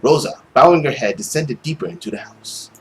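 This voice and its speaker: Animated young man reading a story. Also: on the high side, brisk, gravelly, slight background noise. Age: young adult. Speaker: let's play host reading a visual novel aloud. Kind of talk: expressive story narration with casual commentary.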